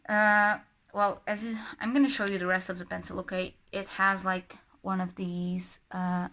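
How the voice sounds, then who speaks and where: A woman's voice: mid-range voice, flat delivery, moderate pace, bright, forceful tone, slight background noise. A young woman from Portugal, chatting casually and rambling with many self-corrections while doing her makeup. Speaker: book and beauty vlogger.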